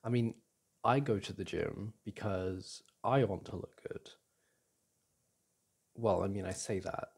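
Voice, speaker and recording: Man playing a mellow, husky, caring young boyfriend. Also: deep voice, heavily acted. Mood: relaxed, reflective. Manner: halting, gentle, full of sighs and restarts. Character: caring, reassuring boyfriend comforting his upset partner. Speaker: audio roleplay performer. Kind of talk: intimate ASMR boyfriend roleplay.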